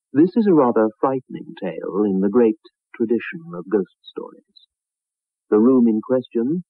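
A man narrating a scripted 1960s radio preview in a measured, ominous storytelling manner. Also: on the low side, breathy edge, no room sound. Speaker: radio show announcer. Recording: muffled narrow-band vintage radio recording.

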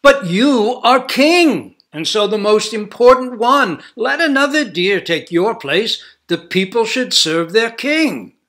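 Proud king in a storybook, loud and lofty, voiced by a male narrator. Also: high voice, sing-song, slow, few pauses, pitched above the performer's own voice, full character voice. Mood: commanding. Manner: loud, raised delivery. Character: proud commanding human king, blustering.

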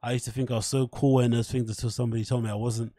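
A man with a mid-pitched voice talks into a close microphone in a dry room. He sounds like a livestream host giving casual commentary. His talk is opinionated, full of slang and freely sprinkled with swearing. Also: level tone, racing, mellow, no room sound. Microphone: close desk microphone.

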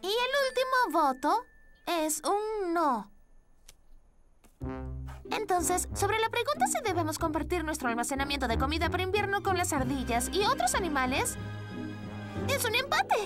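A woman voicing a cheerful, caring little girl who leads her friends in a dubbed children's cartoon, expressive and encouraging. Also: very high-pitched, normal speed, pauses often, over loud music. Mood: earnest.

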